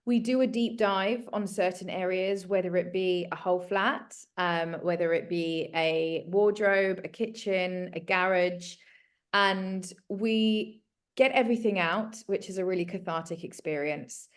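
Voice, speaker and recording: A woman's voice: fairly low pitch, steady tone, a little slow. A woman in her thirties from South Africa, a guest talking casually and earnestly about her work and her story. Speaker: guest, home organiser. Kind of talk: casual conversation.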